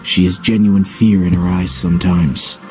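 A man voices a wary swordsman from a video game in a fairly low register. He is cool and suspicious, terse and drily sardonic, with music clearly playing behind him. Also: flat delivery, normal speed, dark tone, close to an everyday voice. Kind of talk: English video game voice acting.

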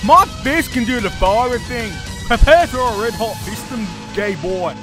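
A man's voice: extremely high pitch, animated, measured pace, music in the background. A man voicing a cocky, irritable cartoon mage with an exaggerated Australian accent and a sarcastic, exclaiming delivery. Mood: boastful. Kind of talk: comedic animated voice acting.

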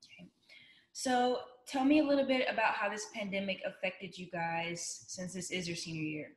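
A woman's voice: mid-range voice, fairly even intonation, moderate pace. A young woman hosting an interview, putting questions to a group over a video call. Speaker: interviewer, host. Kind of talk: scripted intro and interview questions. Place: indoor room on a video call. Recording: roomy, echoing video call.

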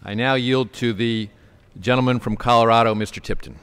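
A man's voice: mid-range voice, fairly even intonation, brisk, fairly bright tone, clear, resonant tone. Man making formal chamber announcements. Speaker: presiding member calling on speakers. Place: large legislative chamber.

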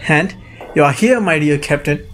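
A man's voice: on the high side, animated, moderate pace, fairly bright tone, faint music behind. A man acting a hearty middle-aged ironmaster in a student radio drama, earnest and coaxing. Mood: urging, concerned. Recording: echoey room.

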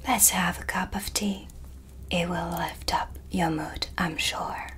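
A woman voicing a sly, composed dark witch in a soft, breathy, deliberate ASMR roleplay, close to the mic. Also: lowish voice, fairly even intonation. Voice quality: breathy, whispery. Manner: slow, deliberate, theatrical. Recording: music behind the voice. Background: ambient music.

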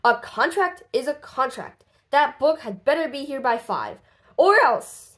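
A stern, grumpy boss of a man from a children's storybook, as a woman reader puts him on. He is demanding and bossy, in a raised pitch with forceful swings. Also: expressive, a little slow. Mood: bossy, angry.

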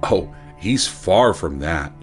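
A man's voice: deep voice, lively intonation, takes it slowly, soft background music. A man playing a menacing cult-believing asylum authority in an audio drama, patronizing and full of veiled threat. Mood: patronizing menace.

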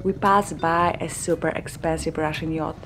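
A woman in her forties whose first language is Polish, narrating a sailing vlog in a slow, careful, explaining manner. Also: low-pitched, fairly even intonation, over music. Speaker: vlogger narrator. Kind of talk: voice-over narration.

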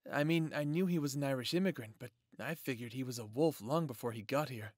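Casting: actor for relaxed young male wolf, average register, conversational, friendly, audio roleplay. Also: mid-range voice, fast, dry recording, studio quality, lightly acted. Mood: casual, surprised. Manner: casual. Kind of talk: audio roleplay drama acting.

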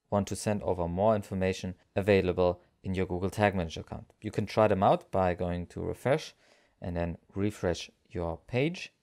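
A man narrating a software tutorial as its presenter, explaining things step by step. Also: low voice.

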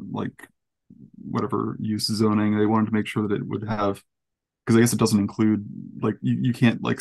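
A man's voice: fairly low pitch, vocal fry, a little mellow. A man in his twenties casually recapping a meeting as a panelist, tentative and correcting himself as he goes. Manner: tentative, hedging, self-correcting. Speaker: panelist reporting on council meetings. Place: indoor room on video call. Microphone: headset on video call.

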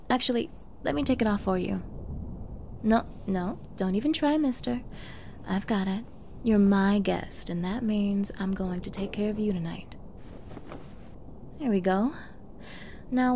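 A woman's voice: mid-range voice, warm tone. A woman playing a flustered, clingy young yandere in an ASMR girlfriend roleplay, with a coy, stammering manner and feigned ditzy innocence. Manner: stammering, coy, eager, breathy asides. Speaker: roleplay voice performer. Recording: faint background noise.

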